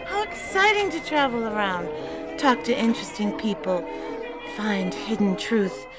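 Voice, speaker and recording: An actress playing a spirited young 1920s woman who talks in brisk, teasing period slang. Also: mid-range voice, animated, close to an everyday voice. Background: music.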